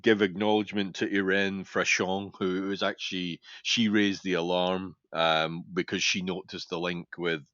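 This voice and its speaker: A man's voice: low voice, little pitch movement, moderate pace, hardly any pauses, fairly bright tone, dry recording. A man co-hosting a casual podcast discussion, explaining at length in a hesitant, repetitive way. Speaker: podcast co-host. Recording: dull, dry podcast audio.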